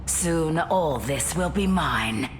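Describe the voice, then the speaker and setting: An actress playing a haughty, covetous villainess goddess in a video game, regal, drawling and disdainful. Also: female voice, sits low, lively intonation, slow, in a large hall, slight background noise. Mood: gloating. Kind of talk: game voice acting.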